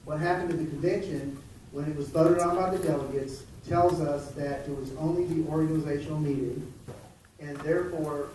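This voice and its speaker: A man chairing a committee meeting, explaining a ruling with halting restarts. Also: fairly high pitch, a little mellow. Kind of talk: presiding over a committee meeting. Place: large echoey meeting hall. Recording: echoey hall from far off, noisy.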